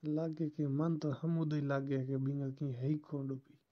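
Caller chatting in a flat, muffled voice. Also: medium pitch, a little slow. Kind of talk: casual private phone chat. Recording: dull lo-fi phone call recording.

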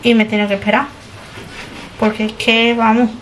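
A woman's voice: on the high side, level tone, fairly quick, slight background noise, low-quality recording. A young woman, chatting to camera in a rambling way as she narrates her chores in a small kitchen. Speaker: family vlogger. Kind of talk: casual vlog chat to camera. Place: small home kitchen indoors.

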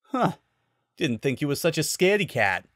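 Casting: man as cocky male bird mercenary, brisk urban lilt, gruff attitude, fan fiction drama. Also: fairly high pitch, sing-song, fairly bright tone, no room sound, studio quality, pitched above the performer's own voice. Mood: teasing.

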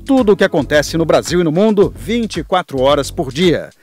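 A man with a Brazilian Portuguese accent, voicing a promotional spot with a smooth, upbeat announcer delivery. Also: on the high side, animated, brisk, soft background music. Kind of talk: promotional voice-over.

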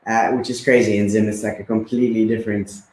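A man's voice: lowish voice, flat delivery, quick pace, a little mellow, echoey room, low-quality recording. A man in his thirties from Zimbabwe, a guest chatting casually with many fillers and repeats. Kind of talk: casual conversation.

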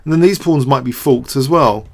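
A man's voice: medium pitch, normal speed, darkish tone. A man giving off-the-cuff game commentary as a show host, hesitant and thinking aloud with repeated words.

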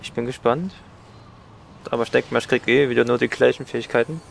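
Gravelly man with a cold, gaming. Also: mid-range voice, steady tone, fast talker, a little hiss or hum. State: has a cold. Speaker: let's play gamer. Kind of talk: casual gameplay commentary.

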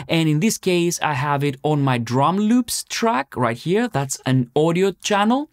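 A man in his thirties presenting an instructional tutorial step by step, with deliberate, emphatic explanations. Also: fairly high pitch, dry recording, studio quality. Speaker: music production tutorial presenter. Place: home music studio, indoors.

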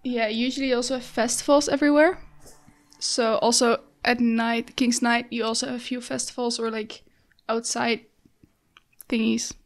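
A young woman from the Netherlands in a casual podcast chat, relaxed and a little hesitant. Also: on the high side, moderate pace.

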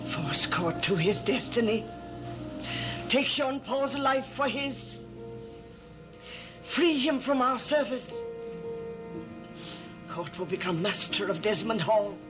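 A middle-aged actress playing a commanding, fanatical servant of dark powers in a gothic television soap, speaking solemnly. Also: female voice, fairly high pitch, animated, slow pace, long pauses. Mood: incantatory. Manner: imperious, incantatory, solemn. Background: dramatic music.

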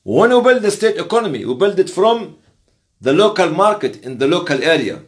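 A man in his sixties giving an explanatory lecture in emphatic, halting phrases, often posing rhetorical questions. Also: high-pitched, very animated. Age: 60s. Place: small office, indoors. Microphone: camera or phone on the desk, a short distance away.

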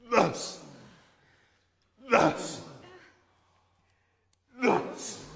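An actor playing a hammy amateur thespian as a doomed lover, declaiming Shakespearean verse melodramatically in a radio drama. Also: male voice, fairly high pitch, gravelly, bright, forceful tone, full character voice. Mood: dying, theatrical. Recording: echoey hall with long reverb.

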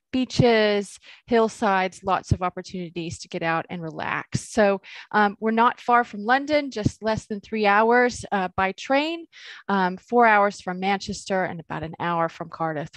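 A friendly middle-aged American woman walks viewers through a university webinar, peppering her talk with fillers and restarts. Her voice sits at an ordinary pitch and comes through dry and close, with the slightly compressed feel of video call audio. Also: no room sound, rough recording. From United States. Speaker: associate dean presenting a webinar. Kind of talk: webinar presentation. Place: indoors, home or office, on a video call.

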